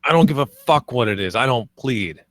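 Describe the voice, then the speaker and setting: A man's voice: mid-range voice, expressive, normal speed, a bit bright, dry recording, close to an everyday voice. Man reading as a brash, cocky guy. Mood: defiant. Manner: brash, slangy. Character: cocky, crude young man awaiting murder trial. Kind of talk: table read of a comedy script.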